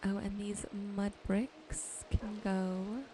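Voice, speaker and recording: Slow, soft-spoken woman doing gaming ASMR. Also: medium pitch, level tone, noisy background. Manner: soft-spoken, gentle musing to herself while sorting. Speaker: gaming video host. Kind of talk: ASMR gameplay commentary.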